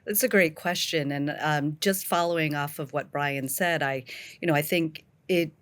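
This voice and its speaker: A woman in her fifties, born in Vietnam, a historian and professor answering interview questions in an articulate, measured way. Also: sits low. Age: 50s.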